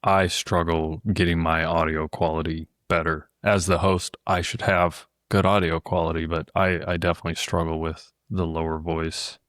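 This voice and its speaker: A man speaking as a podcast guest in a casual conversation, easygoing and measured. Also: sits low, little pitch movement, a bit bright, no room sound, clean studio sound. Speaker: podcast guest, business co-founder.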